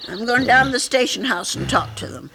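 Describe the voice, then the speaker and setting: A woman's voice: fairly high pitch, big pitch swings, brisk, creaky. A woman acting as a prim, motherly old landlady in an old-time radio mystery, emotive and fussing. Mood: resolute. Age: older. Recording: noisy archival radio drama recording.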